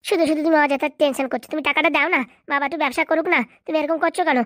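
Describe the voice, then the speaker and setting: A woman's voice: high voice, fairly even intonation, racing, a bit bright, no echo, clean studio sound. A woman voicing a bossy young wife in a comedy cartoon, scolding and pleading like a devoted daughter. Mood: nagging. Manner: scolding, nagging.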